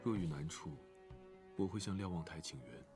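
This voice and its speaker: Very deep-voiced stoic cultivator. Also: male voice, lively intonation, normal speed, music in the background. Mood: grave, formal. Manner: terse, formal, composed. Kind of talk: animated drama dub acting.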